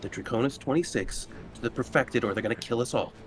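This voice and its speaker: A man's voice: mid-range voice, fast talker. A man playing in a tabletop roleplaying game, talking casually at the table as a player.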